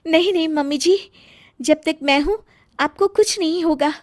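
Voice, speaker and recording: Woman acting a very high, emotional young daughter-in-law. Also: normal speed, a little mellow, no echo, studio quality, natural voice. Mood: gentle, respectful. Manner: pleading, respectful, emotional. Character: sweet, hurt young daughter-in-law with secret powers. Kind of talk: Hindi moral-story cartoon acting.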